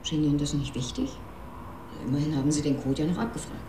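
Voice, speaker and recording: A woman's voice: deep voice, lively intonation, fast talker, mellow. An actress playing a dry, insistent woman investigator in a German radio drama, asking pointed questions. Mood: probing, dry. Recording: clear background noise, ambient sounds.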